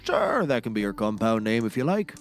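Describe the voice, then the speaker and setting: A man's voice: on the low side, big pitch swings, fairly quick, soft background music. A man playing a folksy, eccentric, self-serious storyteller in a scripted comedy, rambling in a grandiose way. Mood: smug, musing. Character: folksy, eccentric, self-serious male roast speaker telling tall tales. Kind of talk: scripted audio comedy acting.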